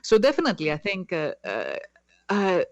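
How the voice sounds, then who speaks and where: A woman's voice: on the low side, wildly swooping pitch, moderate pace, darkish tone, no echo. Hesitant woman, dry sound. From Greece. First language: Greek. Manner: hesitant, many fillers and restarts. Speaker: guest. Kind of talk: casual interview conversation.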